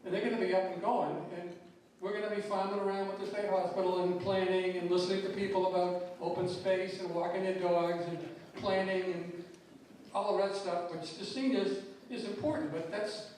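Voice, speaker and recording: A man's voice: sits high, little pitch movement, normal speed, a little mellow. An older man giving a blunt, frustrated public comment at a town meeting, often repeating words as he speaks. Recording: very echoey hall, distant mic, faint noise.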